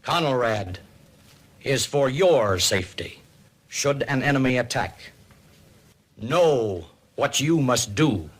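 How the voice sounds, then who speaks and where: A male announcer from a 1950s civil defense broadcast. He speaks slowly and solemnly, placing each phrase with deliberate weight. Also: mid-range voice, lively intonation.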